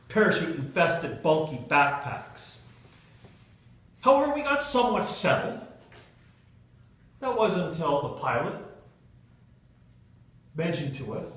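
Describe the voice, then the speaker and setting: Man, animated humorous speaker, bright forceful voice, dramatic pauses, theatrical delivery, echoey room. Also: on the high side. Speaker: Toastmasters club speaker telling a humorous tale. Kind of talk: humorous storytelling speech to a live audience. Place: indoor meeting room with an audience. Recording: echoey room, recorded from far off.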